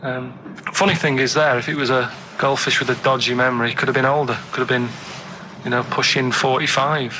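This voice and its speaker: A man chatting casually on a radio show, telling odd facts and stories in a halting, earnest, matter-of-fact way. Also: mid-range voice, steady tone, background noise. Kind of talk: casual rambling radio chat and anecdotes.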